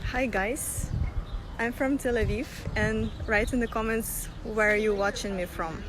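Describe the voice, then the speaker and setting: A woman's voice: fairly high pitch, steady tone, a little slow, crowd noise, low-quality recording. A young woman from Russia leading a live-streamed walking tour outdoors, talking loosely and laughing often. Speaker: tour guide. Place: sunny city streets outdoors. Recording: phone audio with street noise.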